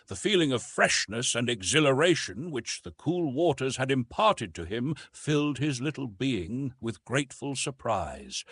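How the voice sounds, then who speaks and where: A man narrating a classic adventure novel as an audiobook, reading in a dramatic, measured literary manner. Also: medium pitch, a bit bright, slightly rough, no room sound.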